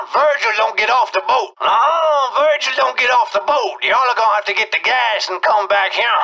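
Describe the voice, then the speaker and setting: A cajun boat captain from a video game, real high bright drawl, folksy, muffled over radio. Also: male voice, heavily acted. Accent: Cajun Louisiana. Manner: folksy, repetitive "now" and dialect grammar. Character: folksy Cajun boatman, friendly survivor of outbreak.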